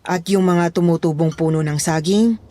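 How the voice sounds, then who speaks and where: A woman's voice: lowish voice, normal speed, warm tone, natural voice. A woman playing a stern, secretive lady employer who questions and orders her houseboy in an audio horror drama. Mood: commanding. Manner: bossy, questioning.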